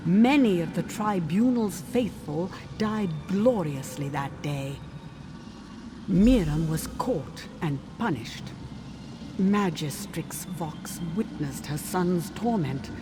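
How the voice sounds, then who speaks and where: A woman playing a sly, gleeful supernatural storyteller in a fantasy video game, theatrical and relishing each word. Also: on the low side. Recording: clearly noisy background.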